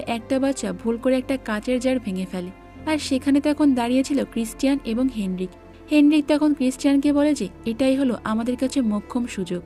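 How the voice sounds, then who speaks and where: A woman's voice: a little high, mellow. A woman narrating a scripted movie recap in a plain, explanatory storytelling manner.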